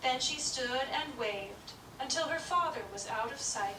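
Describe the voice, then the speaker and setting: A woman storyteller narrating a puppet show story in a gentle, measured reading. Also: high-pitched, lively intonation. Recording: distant, roomy, noisy room.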